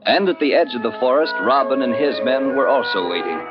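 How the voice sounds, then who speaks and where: A man's voice: medium pitch, expressive, brisk. A man giving dramatic scripted narration for an old-time radio play. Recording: dull old radio broadcast. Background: music.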